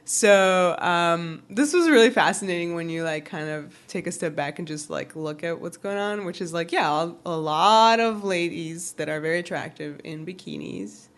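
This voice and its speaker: A woman with a slightly low voice chats casually as a podcast co-host. She recaps a show in a loose, conversational way and is quick to giggle. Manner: giggly, breaks into laughter.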